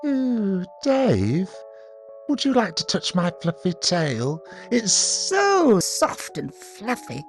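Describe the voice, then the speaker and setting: A man's voice: mid-range voice, sing-song, measured pace, a bit bright, soft background music, pitched above the performer's own voice. A man putting on a crude, swaggering bully rabbit. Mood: creepy coaxing. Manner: boastful, swaggering, sudden growls and swearing. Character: crude menacing male rabbit bully, boastful and aggressive.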